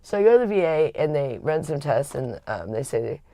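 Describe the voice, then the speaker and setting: A woman with a raspy voice, hosting a livestream and telling a personal story in a candid, rambling way with frequent restarts. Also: low-pitched, sing-song, brisk, warm tone, no room sound. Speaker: livestream host.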